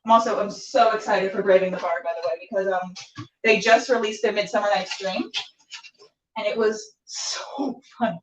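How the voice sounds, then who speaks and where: A woman's voice: mid-range voice, steady tone, moderate pace. A woman hosting a casual cooking show, chatting playfully and self-deprecatingly in a rambling way as she works. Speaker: host of a cooking and interview web show. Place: home kitchen, cooking at a hot plate. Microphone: phone or camera set back from speaker. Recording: roomy, distant, slightly dull home recording.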